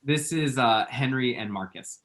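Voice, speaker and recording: A man's voice: mid-range voice, a little slow, a bit bright, natural voice. A man playing a flustered, lovestruck and bitchy young man, sassy and theatrical, in a screenplay table read. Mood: flustered, nervous.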